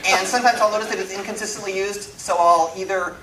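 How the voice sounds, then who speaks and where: A man with a slightly high voice delivers an informal programming lecture with dry, self-mocking humour. He speaks emphatically and pauses for effect. The sound is roomy and a little distant from the microphone. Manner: animated, self-deprecating, wry humour. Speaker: speaker giving a technical programming talk. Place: indoor talk room. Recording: roomy, distant mic, some background noise.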